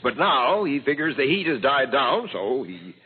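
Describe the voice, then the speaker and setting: A man's voice: mid-range voice, big pitch swings, moderate pace, husky. A man playing a wry police captain in an old-time radio drama, reasoning things out in slangy terms. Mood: sardonic, reasoning. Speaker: actor in a radio crime drama. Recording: phone-like old radio recording.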